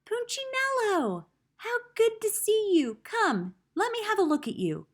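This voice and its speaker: Storybook woodcarver, big fatherly man read by a woman; gentle, thoughtful, unhurried, reassuring. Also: very high voice, very animated, pitched above the performer's own voice, full character voice. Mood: welcoming. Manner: slow, soft, thoughtful.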